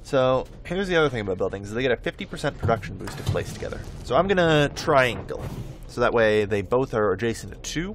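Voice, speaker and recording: A man's voice: fairly low pitch, slight background noise. A man giving casual gameplay commentary, thinking aloud in a rambling way and often correcting himself. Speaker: host of a gameplay video.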